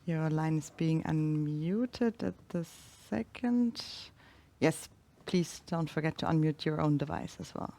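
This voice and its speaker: A woman's voice: low voice, unhurried, dark tone. A woman moderating a conference call, formally introducing callers and giving instructions.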